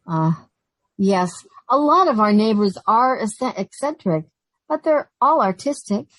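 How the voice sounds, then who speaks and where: A woman's voice: a little high, animated, measured pace, no room sound. A woman playing a sensible, wry, long-suffering young secretary in a 1940s-style radio comedy. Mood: eager, genteel. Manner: wry, proper.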